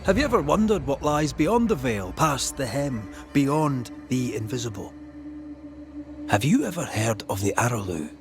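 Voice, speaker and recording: An actor playing a shrewd ghost hunter in a dramatic video game, confronting authority with deliberate, eloquent, accusing speech. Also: male voice, medium pitch, very animated, music in the background. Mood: probing. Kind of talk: dramatic game storytelling and interrogation.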